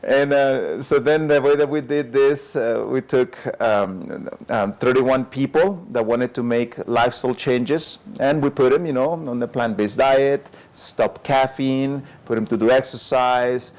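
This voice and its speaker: Male doctor guest, mid pitch, easygoing storyteller, laughs often. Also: unhurried, few pauses. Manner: relaxed, chuckles often, many fillers like "you know". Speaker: lifestyle medicine doctor.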